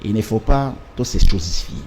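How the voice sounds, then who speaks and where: A man speaks in a low, dark, mellow voice. He argues emphatically and repeats himself to drive each point home. Faint room ambience sits behind him. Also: lively intonation, moderate pace, a little hiss or hum. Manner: emphatic, repetitive, rhetorical lists. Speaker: political party representative. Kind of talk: political interview, mixing French and Lingala.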